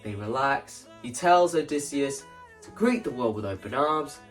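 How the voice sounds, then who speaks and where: A man's voice: medium pitch, lively intonation, a little slow. Man explaining over music, slightly distant mic. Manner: rambling, acts out scenes and quotes lines as they go. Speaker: content creator. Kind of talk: animated explanatory vlog about staging a musical.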